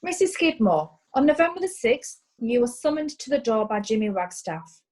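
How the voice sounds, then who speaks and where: Woman as a formal courtroom lawyer, slightly high voice. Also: sing-song, normal speed, darkish tone. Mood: formal, probing. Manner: formal, inquisitive. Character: brisk, formal courtroom lawyer questioning witnesses. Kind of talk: comic courtroom play acting.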